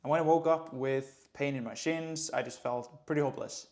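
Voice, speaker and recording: A man in his late twenties from Iceland, a running coach speaking English with an Icelandic accent in a relaxed, conversational vlog. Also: fairly high pitch, moderate pace. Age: late 20s. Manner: relaxed, conversational, light laughs. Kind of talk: casual vlog talk to camera.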